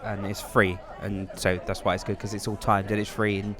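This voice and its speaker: Low-voiced man amid crowd chatter. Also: level tone, fairly quick. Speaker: podcast guest. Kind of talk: casual chat, anecdotes.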